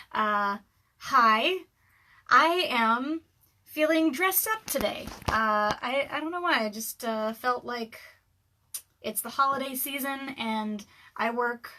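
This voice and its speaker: Woman w a scratchy bright voice, rambly livestream chat from home, lots of ums. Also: on the high side. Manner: rambling, chatty, many ums and laughs. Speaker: author and livestream host. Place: dark room at home. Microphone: webcam livestream.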